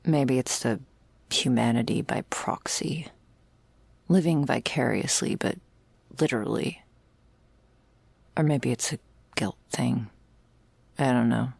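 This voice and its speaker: A woman playing a jaded, wry, terminally ill shopkeeper who serves a vampire, speaking in an intimate, deadpan ASMR roleplay monologue. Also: no echo, full character voice. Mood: sardonic, musing. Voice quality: low, husky, gravelly. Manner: deadpan, sardonic, slow and pausing, swearing casually.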